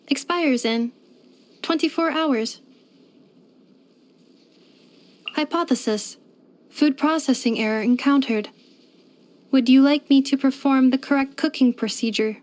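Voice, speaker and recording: A woman's voice: high voice, normal speed, close to an everyday voice. A woman voicing a polite, devoted household robot companion in a video game, speaking in formal, precise, computer-like phrasing. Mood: methodical, polite. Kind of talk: scripted video game character voice lines.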